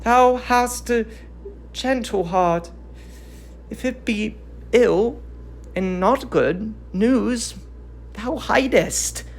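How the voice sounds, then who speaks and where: Performer voicing a grieving young captive widow, slow declamation, creaky edge, classical tragedy, mournful. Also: mid-range voice, slight background noise. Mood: anxious, pleading. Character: grieving captive Trojan widow Andromache, young noble mother. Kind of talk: dramatic reading of classical Greek tragedy.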